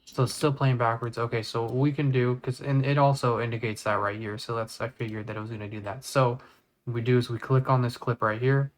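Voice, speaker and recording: A man with a mid-range voice talks through a software tutorial. He is casual and works step by step, often thinking aloud as he goes. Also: medium pitch, fairly even intonation, brisk. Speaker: video editing tutorial presenter. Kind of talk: casual screen-recorded tutorial.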